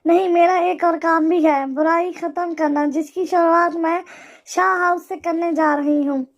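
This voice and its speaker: Woman voicing fiery young woman, sharp and snapping, high hoarse voice. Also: fairly even intonation, moderate pace. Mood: angry.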